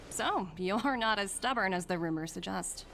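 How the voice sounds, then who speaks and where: Smooth-talking young female corporate envoy for a game: mid-range, businesslike, confident. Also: mid-range voice, expressive, normal speed, a bit bright, a little hiss or hum. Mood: assured, persuasive. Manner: poised, persuasive, businesslike. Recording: light music behind the voice.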